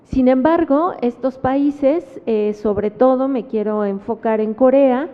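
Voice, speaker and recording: A woman with a Mexican Spanish accent gives an academic talk at a book presentation, pausing often and adding tag questions. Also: high voice. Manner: thoughtful, with frequent fillers and tag questions. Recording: phone-like sound with long hall reverb.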